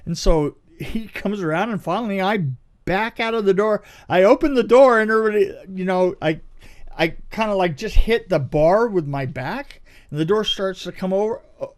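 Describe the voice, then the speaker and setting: A middle-aged man telling a story in casual conversation, dramatic and halting, repeating phrases for emphasis. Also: sits high. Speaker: podcast co-host telling anecdotes.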